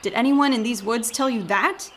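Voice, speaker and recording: Actress as sarcastic young woman tourist, doubtful, highish voice, radio play, faint birds. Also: normal speed, a bit bright, slight background noise, close to an everyday voice. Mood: agitated. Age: young adult. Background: birdsong, woodland ambience.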